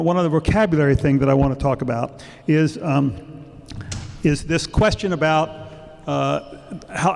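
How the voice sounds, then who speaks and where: An older man giving an explanatory public talk on housing into a handheld microphone, emphatic and loud-voiced. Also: a little high, vocal fry. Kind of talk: lecture to a public audience. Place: public hall. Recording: reverberant hall.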